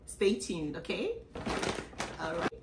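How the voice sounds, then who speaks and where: A young Ghanaian woman chatting casually about her grocery haul, animated and full of um fillers, recorded at a table indoors. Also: lowish voice, takes it slowly, roomy sound, far from the mic, heavy background noise. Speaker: vlogger. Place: small indoor room at a table.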